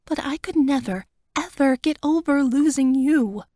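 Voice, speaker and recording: A woman's voice: sits high, a little slow, warm tone, no room sound, clean studio sound, pitched above the performer's own voice. A woman voicing Wanda, a tearful and devoted young woman who pleads emotionally with her friend, her voice lifted well above the narrator's own. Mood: tearful pleading.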